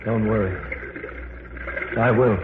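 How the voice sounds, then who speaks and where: A man's voice: lowish voice, fairly even intonation, slow pace. A man playing an anxious cave explorer in a vintage radio horror drama, tense and dramatic in delivery. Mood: uneasy, weary. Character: anxious male cave explorer, the professor's companion, narrating. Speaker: radio drama actor and first-person narrator. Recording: tinny, noisy old radio recording.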